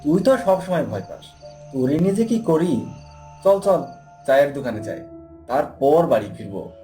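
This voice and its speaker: A man's voice: on the high side, very animated, moderate pace, dark tone, faint music behind. A man voicing a bold, dismissive young village man in a cartoon, with a brash, scoffing, colloquial way of talking. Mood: teasing.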